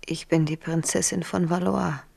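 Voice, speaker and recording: Radio drama: proud young queen, tender yet calculating, low breathy whispery voice, intimate and intense. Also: fairly even intonation, moderate pace, a little mellow, exaggerated voice. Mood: urgent, secretive. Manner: intimate, formal, emotionally intense. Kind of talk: 1970s German radio play acting.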